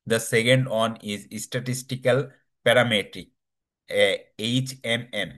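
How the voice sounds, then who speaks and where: A man giving a technical slide presentation in a halting, hesitant way, with non-native English phrasing. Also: mid-range voice, fairly even intonation, fairly bright tone.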